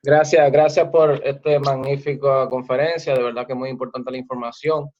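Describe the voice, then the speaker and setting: A man's voice: mid-range voice, fairly even intonation, normal speed, darkish tone. A young man from the Dominican Republic, politely asking a question at a webinar. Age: young adult. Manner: polite and grateful. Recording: muffled online call.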